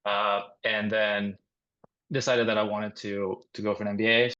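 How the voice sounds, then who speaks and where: A young man from Sweden, an MBA student, introducing himself in a measured, hesitant way on a video call. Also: lowish voice, level tone, bright, forceful tone. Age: young adult. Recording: dull lo-fi call audio.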